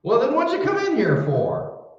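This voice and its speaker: Wisecracking folksy husband played by a man, radio comedy, animated pitch, heard distant in a roomy space. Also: moderate pace, exaggerated voice. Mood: exasperated. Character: folksy wisecracking husband working as poll clerk. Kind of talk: old-time radio comedy acting. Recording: echoey room, distant mic, dull sound.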